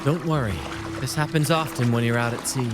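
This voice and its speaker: A man's voice: medium pitch, fairly quick, loud music behind the voice, close to an everyday voice. A man playing an easygoing, confident young seafaring adventurer in a video game, with a reassuring, theatrical delivery. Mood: reassuring, bright. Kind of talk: dramatic game cutscene acting.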